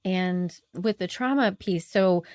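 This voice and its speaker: A woman's voice: lowish voice, takes it slowly, darkish tone, no room sound. A woman hosting a podcast in a casual chat, affirming her guest often and explaining ideas in a loose, conversational way. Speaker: podcast host.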